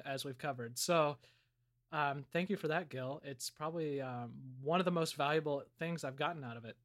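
A man co-hosting a comedy podcast, chatting in character with an earnest, deadpan delivery. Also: a little high. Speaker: podcast co-host.

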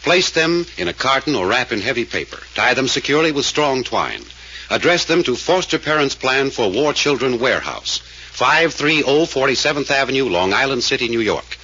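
A man delivers a scripted appeal in the manner of 1940s radio, forceful and lively in pitch. The old recording is dull, with faint noise. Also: moderate pace, husky. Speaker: radio actor making a charity appeal.

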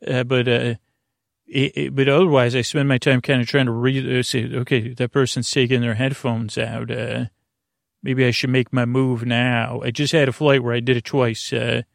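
A man with a gravelly, fry-laden voice delivers a bedtime monologue as a podcast host. His pitch stays very flat while he meanders, stalls and circles back over his own phrases. The sound is close and very dry. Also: dry recording. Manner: meandering, halting, with trailing repetitions and self-interruptions. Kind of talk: rambling bedtime monologue to help listeners fall asleep.

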